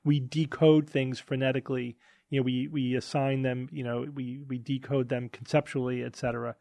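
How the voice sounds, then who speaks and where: A man's voice: mid-range voice, flat delivery, moderate pace, creaky, dark tone, no room sound. A man in casual group discussion, explaining points emphatically. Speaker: podcast host.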